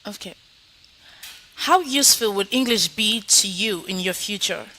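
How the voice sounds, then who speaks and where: A woman's voice: medium pitch, animated, a little slow, pushed and bright, rough recording. A woman teaching an online IELTS speaking lesson, coaching students and then running a mock exam. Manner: coaching, encouraging, repeats "okay?". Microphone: video call.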